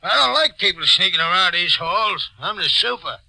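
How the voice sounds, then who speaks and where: A gruff, suspicious New super in an old radio mystery. His voice is high for a man, with a hard, piercing edge. He talks in blunt, streetwise challenges. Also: lively intonation, moderate pace, sharp tone, no room sound, heavily acted, low-quality recording. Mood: suspicious, challenging. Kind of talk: 1940s American radio mystery acting. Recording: vintage 1940s radio recording.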